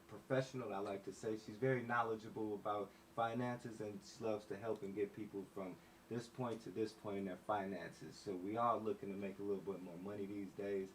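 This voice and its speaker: Woman host with a super deep voice, chatty, says yeah a lot, light hiss. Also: fairly even intonation, a little fast, poor audio. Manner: chatty, lots of backchannel yeahs. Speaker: podcast host. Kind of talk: casual interview chat.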